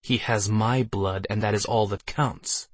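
A proud sorceress and mother read by a man in a fantasy audiobook. She is imperious and commanding, with a cold certainty. The sound is dry and slightly husky. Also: lowish voice, fairly even intonation, measured pace, no echo. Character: commanding, proud female archmage and ruthless mother. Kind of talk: audiobook character voice.

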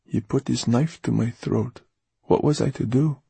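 A man's voice: medium pitch, normal speed, vocal fry, mellow, slightly rough, no echo, pitched above the performer's own voice. A man voicing an eager, touchy teenage boy with a defensive, hesitant manner in an audiobook. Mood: rueful, defensive. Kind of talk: audiobook character dialogue.

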